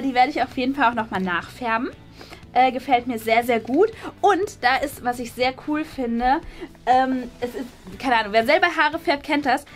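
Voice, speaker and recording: A high-pitched woman hosts a casual vlog. She chats to the camera in an enthusiastic, gushing way. Faint music plays behind her. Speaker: vlog host.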